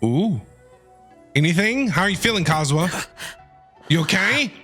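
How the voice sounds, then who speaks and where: A man's voice: high voice, sing-song, moderate pace, bright, forceful tone. A man giving playful, casual commentary as he streams a video game. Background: game music.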